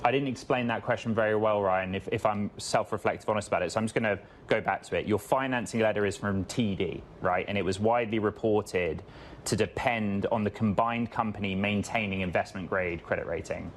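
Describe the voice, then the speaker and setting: Low-voiced man interviewing, faint noise. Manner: measured, long explanatory questions with rephrasing. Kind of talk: business interview questioning.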